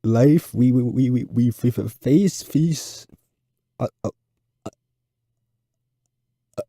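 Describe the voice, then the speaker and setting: Guy acting a nervous schoolboy stuck on every word, heavy stutter, dark tone, dry sound. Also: mid-range voice, slow, no room sound. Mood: anxious, struggling. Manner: heavy stutter, repeating sounds and words, long blocks. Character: nervous fourth-grade boy with a severe stutter.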